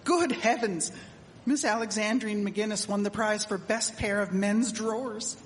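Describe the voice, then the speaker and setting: A woman's voice: mid-range voice, unhurried, close to an everyday voice. A woman playing a prim, exclamatory townswoman of the 1870s in a scripted period radio drama. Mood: admiring. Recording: echoey hall, faint background noise.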